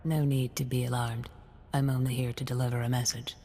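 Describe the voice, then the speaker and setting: A man voicing a formal, diplomatic servant of a noble house in a video game, with a husky, creaky voice. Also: medium pitch, little pitch movement, a little fast, dark tone, slight background noise, close to an everyday voice. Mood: smooth diplomacy. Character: courteous, sinister servant messenger of a noble house. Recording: long hall reverb.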